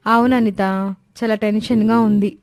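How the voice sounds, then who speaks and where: A woman's voice: on the high side, level tone, normal speed, darkish tone, dry recording, studio quality, natural voice. A woman voicing a discouraged, anxious young orphan in a moral story. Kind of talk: Telugu moral story character acting.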